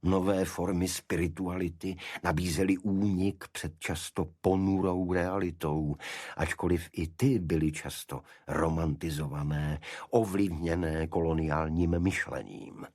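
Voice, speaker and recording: A man reading a detective story as scripted dramatic narration, in a measured, literary manner with a husky voice. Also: deep voice, darkish tone, no room sound, studio quality. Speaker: audiobook narrator.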